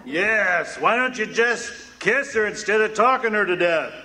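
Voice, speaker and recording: An actor playing a grumpy older man who heckles, gruff and impatient, in a radio play. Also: high-pitched, lively intonation, measured pace, bright, forceful tone, slight background noise, full character voice. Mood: grumbling.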